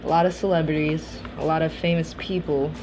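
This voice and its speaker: Low-voiced young woman hosting a podcast. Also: brisk, background noise. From Russia. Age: 20s. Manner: earnest, reflective, steady delivery. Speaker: podcast host. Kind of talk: personal monologue podcast.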